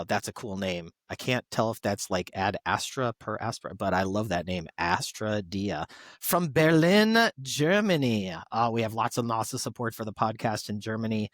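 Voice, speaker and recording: Chatty man giving podcast shout-outs, dry sound. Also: lowish voice, animated, moderate pace, dry recording. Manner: chatty, appreciative asides. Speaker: podcast co-host. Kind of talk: reading listener shout-outs, casual chat.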